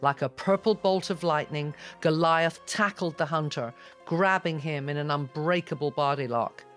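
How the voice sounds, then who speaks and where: A man's voice: on the high side, normal speed, fairly bright tone, soft background music. A man reading scripted fantasy narration in a dramatic audiobook style.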